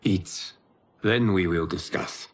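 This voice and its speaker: Low-voiced, calm guardian god. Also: male voice. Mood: calm, solemn. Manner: measured, formal, authoritative. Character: calm, wise male guardian god of the realm. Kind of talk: video game acting.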